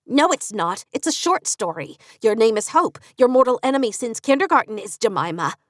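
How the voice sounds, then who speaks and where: Pushy best friend, blunt and teasing, higher and louder than the narrator as read by a woman; bright, lively delivery, dry close read. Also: brisk, no room sound.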